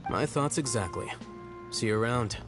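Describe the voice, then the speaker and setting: An actor playing an erudite, faintly superior young scholar in an anime video game, dry, articulate and wry. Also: male voice, medium pitch, lively intonation, normal speed, music in the background, lightly acted. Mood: dryly amused.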